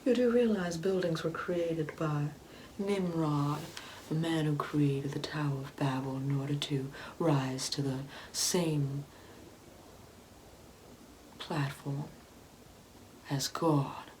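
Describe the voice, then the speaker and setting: A woman playing a sanctimonious, Bible-quoting Karen mother in an ASMR comedy roleplay, condescending and preachy, often dropping into a breathy whisper. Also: low voice, fairly even intonation, slow, long pauses, darkish tone, a little hiss or hum, rough recording. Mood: appalled, preachy.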